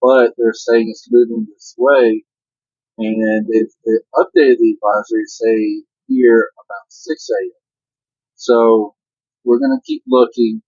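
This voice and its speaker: A man's voice: mid-range voice, expressive, measured pace, darkish tone, breathy edge, dry recording, lo-fi sound. An older man who drives a truck, chatting casually and giving matter-of-fact safety advice. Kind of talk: casual vlog chat.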